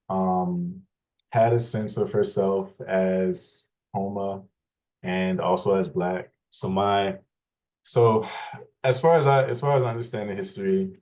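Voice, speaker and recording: Low, flat-voiced man from Louisiana. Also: poor audio. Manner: measured, frequent restarts and fillers, explanatory. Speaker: interview guest. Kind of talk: thoughtful conversational storytelling.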